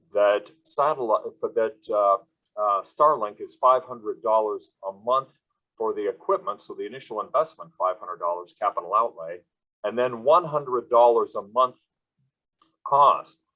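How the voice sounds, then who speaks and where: A man's voice: mid-range voice, bright, forceful tone, low-quality recording. A man from Wisconsin giving a presentation on a video call, rambling with many fillers and restarts. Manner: rambling, with frequent fillers and self-corrections. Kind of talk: webinar presentation.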